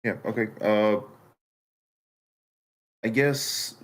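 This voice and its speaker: A man with a somewhat low voice talks casually on an online call. He sounds unsure of himself, speaking haltingly and apologetically. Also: fairly even intonation. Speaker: newcomer participant in feedback session. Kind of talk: hesitant casual remarks. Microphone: online voice meeting.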